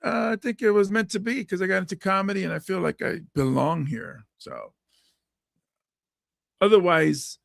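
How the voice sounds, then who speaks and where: A middle-aged man with a raspy, gravelly voice, a bit hoarse, hosting a podcast chat. He talks in a lively way, acts out quotes, and laughs often. His pitch sits fairly high for a man, and the sound is dry and close, with little room echo. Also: normal speed. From Hawaii. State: hoarse from coaching. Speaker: podcast host and comedian.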